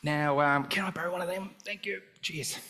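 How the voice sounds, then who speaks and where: Chatty Australian man hosting, slightly higher voice. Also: moderate pace, pushed and bright, a faint crowd. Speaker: host interviewing a guest at a church event. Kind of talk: casual live interview before an audience.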